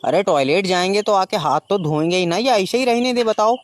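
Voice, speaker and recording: A man's voice: sits high, brisk, close to an everyday voice. A man with an Uttar Pradesh accent playing a stubborn, cheeky customer who argues back in a comic skit. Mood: indignant. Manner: blunt, nonchalant, bickering. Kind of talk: comic phone-call skit.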